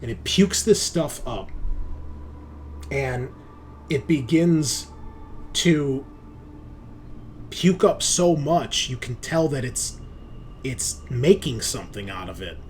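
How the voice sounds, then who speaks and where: A man game mastering a tabletop horror session, speaking in a halting, descriptive and casual way. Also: mid-range voice, lively intonation, darkish tone. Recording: noticeable background noise.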